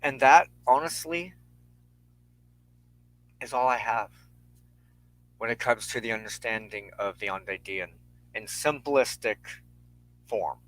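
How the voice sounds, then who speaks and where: A man hosting a spiritual show, speaking reflectively and earnestly in measured, halting short phrases with deliberate pauses. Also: mid-range voice, fairly bright tone, no room sound, poor audio. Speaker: host and minister of a spiritual show. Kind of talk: reflective spiritual talk to an online audience.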